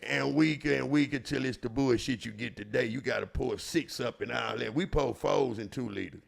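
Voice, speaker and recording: Man, Houston Texas accent, very creaky gravelly voice, middling pitch, casual storytelling, slangy and profane, emphatic. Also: moderate pace. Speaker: storyteller and show regular.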